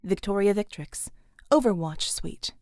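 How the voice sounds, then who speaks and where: A woman's voice: mid-range voice, lively intonation, a little slow, a little mellow, no room sound, clean studio sound. A woman reading dramatic action fiction as a scripted audiobook narration.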